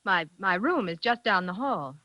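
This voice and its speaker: A woman's voice: medium pitch, lively intonation, normal speed, pushed and bright, no room sound. An actress playing an anxious, hesitant young woman in a 1940s radio drama, stammering with worry. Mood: nervous, hesitant. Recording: dull vintage radio recording.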